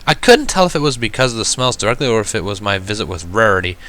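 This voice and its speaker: A man's voice: fairly low pitch, very animated, fast talker, fairly bright tone. A man reading a story aloud as a first-person narrator. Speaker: fan fiction reader.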